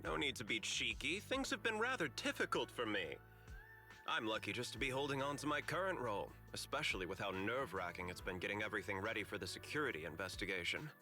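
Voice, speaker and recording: A man playing a courteous, composed corporate manager in a video game, speaking in polite, measured explanations. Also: fairly low pitch, expressive, sharp tone, faint music behind. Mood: wry, relieved.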